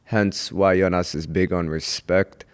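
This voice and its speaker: A young man from Houston, Texas, a comedian guest on a podcast, talking in an unhurried, reflective way with pauses to think. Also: low voice, fairly even intonation, clean tone. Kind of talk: casual podcast interview.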